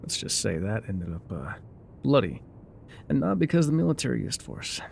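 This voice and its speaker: A man's voice: medium pitch, sing-song, normal speed, vocal fry, darkish tone, slight background noise. A man playing an enigmatic, wry guide through dangerous wilds in an intimate ASMR roleplay, musing, teasing and often trailing off. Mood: grave, ominous.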